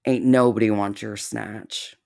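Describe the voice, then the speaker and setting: A woman's voice: extremely low pitch, fairly even intonation, a little slow, creaky, darkish tone. A woman giving blunt, sarcastic, profane reaction commentary. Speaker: commentary YouTuber.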